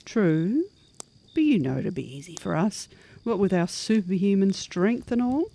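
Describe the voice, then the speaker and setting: A woman's voice: mid-range voice, animated, unhurried, mellow. A woman playing a practical, upbeat superhuman monster adventurer in an audio horror-comedy, with a folksy, coaxing manner. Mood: eager, persuasive. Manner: folksy, plain-spoken, coaxing.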